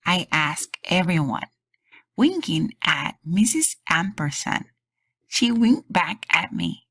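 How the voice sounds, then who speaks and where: A woman reads aloud for children with very lively intonation. Her voice is on the low side and a little husky. She phrases things deliberately and expressively, like a host sharing a storybook. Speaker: children's read-aloud channel host and teacher. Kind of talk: animated storybook read-aloud for kids.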